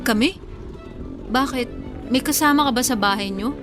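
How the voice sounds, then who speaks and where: An actress playing a tough, frank female tricycle driver, guarded in love, with brisk plain-spoken banter in a radio drama. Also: fairly high pitch, lightly acted. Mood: teasing. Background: music.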